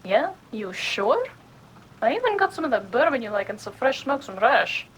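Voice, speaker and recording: A woman performs a friendly comrade checking in during an audio drama. Her voice is a bit high, casual and hesitant with concern. Faint noise and ambience sit behind it. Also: sing-song, moderate pace, low-quality recording. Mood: coaxing, worried. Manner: hesitant, caring.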